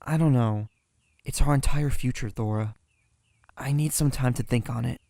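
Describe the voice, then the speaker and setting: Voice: mid-range voice, normal speed, dark tone, no echo, close to an everyday voice. An actor playing an unsure young boy apprentice in a fantasy audio drama, questioning and hesitant. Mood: uncertain.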